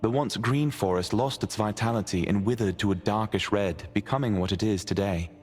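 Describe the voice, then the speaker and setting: A man giving measured, formal scripted narration for a fantasy video game story. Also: on the low side, a little mellow, soft background music, lightly acted.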